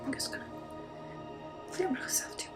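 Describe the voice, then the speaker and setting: A woman who is a native Swedish speaker, giving an ASMR energy healing in an intimate, halting, casual way, slipping often between soft voice and breathy whisper. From Sweden. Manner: casual, blunt, swearing, halting with asides. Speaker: spiritual healer and ASMR host. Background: music.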